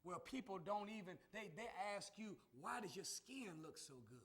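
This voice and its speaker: Man preaching real high, calls out to the crowd and gets loud. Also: animated, moderate pace, slight background noise. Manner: call-and-response preaching, rising to shouts. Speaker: minister. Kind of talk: sermon.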